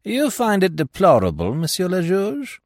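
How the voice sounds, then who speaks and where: A cool, unflappable French detective, as acted by a male narrator, pitched high and lively. He speaks with smug, crisp assurance, as in a dramatized audiobook reading. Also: moderate pace, no room sound, exaggerated voice. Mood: cool, self-assured. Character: imperturbable, confident French male police detective.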